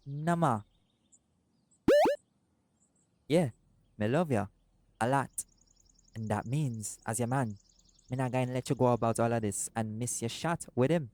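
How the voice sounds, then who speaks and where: A man voicing a doting, laid-back seagull shifter boyfriend in an intimate audio roleplay, speaking softly and affectionately. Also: medium pitch, moderate pace, slight background noise, natural voice. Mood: firm. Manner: soft-spoken, affectionate, creole-inflected speech.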